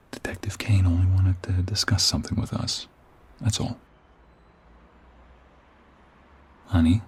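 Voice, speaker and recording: A man plays a devoted, protective husband in an intimate ASMR roleplay. His voice is very low with a gravelly fry, and he speaks slowly and softly, often slipping toward a whisper to reassure his partner. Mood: reassuring, protective. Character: protective, tender husband on the run from the mob.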